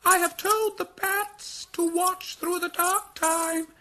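A man voicing an excitable male kite bird with shrill, piping cries in an audiobook reading. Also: slow pace, full character voice. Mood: urgent. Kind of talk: audiobook character voice.

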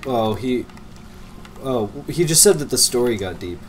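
A man's voice: mid-range voice, expressive, measured pace, slight background noise. A congested young man with a cold, rambling and laughing as he comments on a game he is playing. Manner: rambling, laughs often, trails off. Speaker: let's play commentator. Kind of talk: casual gameplay chat.

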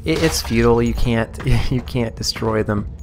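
A man casually commenting on his game as he plays, rambling and amused, a little hoarse. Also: medium pitch, normal speed, faint music behind. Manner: rambling, self-amused, sorting inventory aloud. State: slightly hoarse. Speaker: let's play host.

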